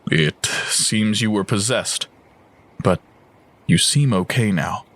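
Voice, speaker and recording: An actor playing a stern, noble warden lord in a fantasy audio drama, formal and commanding. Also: male voice, on the low side, fairly bright tone. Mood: calm command. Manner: formal, measured, authoritative.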